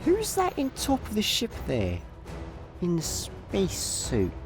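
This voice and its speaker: A laid-back, cocky Scottish space slacker played by a man in a radio comedy. The delivery is flippant and animated in pitch, with music clearly playing behind. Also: slow pace, heavily acted. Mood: urgent. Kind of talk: comic sci-fi radio play acting.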